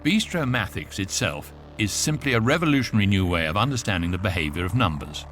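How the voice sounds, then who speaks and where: Knowing sci-fi guidebook narrator, mid voice with lively swing, dry, faint music. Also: male voice, fairly low pitch, fast. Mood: wryly informative. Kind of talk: scripted narration.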